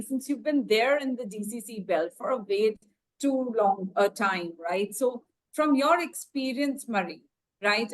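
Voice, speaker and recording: A woman with a fairly high voice hosts an online webinar over a video call. She moderates in an upbeat, encouraging way and hands questions to her guests politely. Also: rough recording. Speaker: webinar host.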